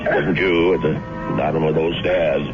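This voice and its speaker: Deep-voiced, folksy doctor. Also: male voice, steady tone, music in the background. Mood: reassuring. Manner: halting, folksy, reassuring. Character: kindly, reassuring male family doctor, folksy and frank. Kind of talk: 1970s radio drama acting. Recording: dull, very noisy old radio recording.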